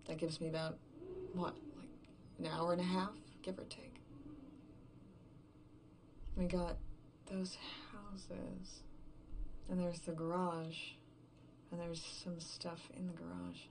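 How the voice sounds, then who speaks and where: Actress as weary, scared woman left alone in a horror audio drama; slightly low voice, halting recorded-diary monologue, noticeable background noise. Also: level tone, gravelly, darkish tone. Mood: bleak, resigned. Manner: halting, repetitive, thinking aloud, swearing. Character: exhausted, frightened woman game writer, alone after everyone vanished.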